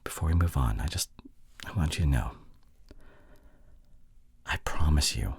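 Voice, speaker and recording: Man acting a deep, husky, sheepish young boyfriend. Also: expressive, dry recording, full character voice. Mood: apologetic, tender. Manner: soft, halting, pleading delivery with breathy drops toward a whisper. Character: sheepish, affectionate young boyfriend apologizing to his long-distance partner. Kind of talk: intimate boyfriend roleplay audio drama.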